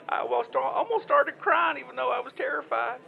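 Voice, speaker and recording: A man's voice: very high voice, creaky, heavily acted. A man playing a devout, flustered farmer with a Southern US accent, stammering and rambling through a phone call in an improvised comedic audio drama. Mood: awed, emotional. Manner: rambling, stammering, halting, folksy. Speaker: caller.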